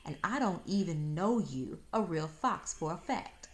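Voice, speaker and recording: A woman's voice: on the low side, lively intonation, measured pace, a little hiss or hum. Storybook little girl, played by a woman: country talk, bold, sassy, stubbornly unimpressed. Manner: plain-spoken, sassy rural dialect. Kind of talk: storybook read-aloud.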